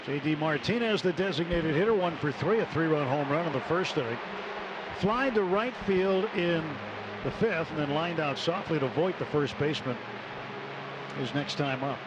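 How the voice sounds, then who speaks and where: A man doing live baseball play-by-play on radio in measured phrases with clipped count calls. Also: on the high side. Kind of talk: live radio sports commentary. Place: stadium broadcast booth. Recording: noisy live ballpark radio broadcast. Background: ballpark ambience.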